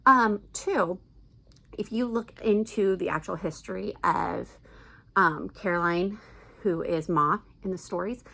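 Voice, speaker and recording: Lower-pitched, enthusiastic woman chatting casually. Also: gravelly, fairly bright tone, husky. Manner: enthusiastic, emphatic. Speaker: book vlogger recommending family audiobooks.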